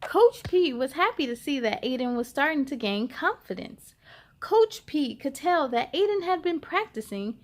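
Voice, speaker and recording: Animated high-voiced woman reading to children. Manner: animated, drawn-out, talks directly to young listeners. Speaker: children's story time host and reader. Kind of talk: expressive read-aloud for children.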